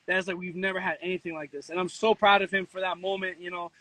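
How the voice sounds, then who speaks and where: A man speaks in a high, sharp voice, recorded close and dry. He is an interview guest telling stories casually, with slang and strong opinions. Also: fast talker, dry recording, poor audio. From the Bronx, New York. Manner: animated storytelling, slangy, switches into Spanish. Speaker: interview guest, content creator.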